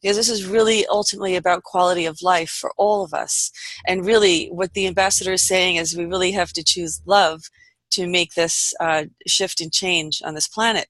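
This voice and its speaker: A woman hosting an unscripted online panel, speaking in an earnest, halting, meandering way. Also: fairly low pitch, steady tone, a bit bright, no echo. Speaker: host of an online panel report.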